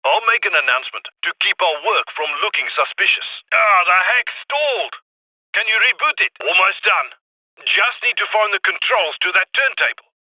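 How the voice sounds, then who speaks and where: An actor playing a scrappy male heist handler in a video game, giving brisk, urgent instructions over radio comms. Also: sits high, animated, pushed and bright, dry recording, exaggerated voice, low-quality recording. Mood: instructing.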